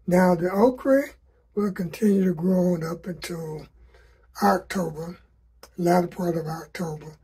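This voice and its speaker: A man from South Carolina speaks slowly in a hoarse, deeply husky voice. His tone is mellow and folksy as he offers gardening advice to his viewers, repeating his sayings to drive them home. He talks from outdoors in his vegetable garden. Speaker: gardening vlogger.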